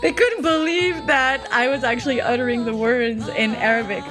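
A woman from France, a native French speaker, recounting a personal story in an interview in a chatty, animated way. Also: a little high, moderate pace, over music. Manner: animated, chatty, quotes herself. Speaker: singer, interviewee.

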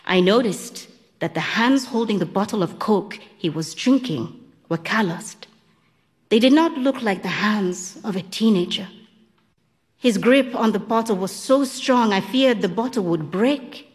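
Calm woman narrating a novel, reverberant. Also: medium pitch. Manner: calm, measured storytelling. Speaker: audiobook narrator. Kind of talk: first-person literary narration. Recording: long reverb on the voice.

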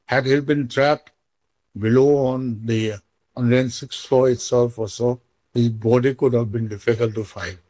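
A man's voice: medium pitch, fairly even intonation, a little slow, warm tone, dry recording. A man from northeast India with an Indian accent, sharing personal memories as an interviewee. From Manipur, India. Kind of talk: personal reminiscence, conversational.

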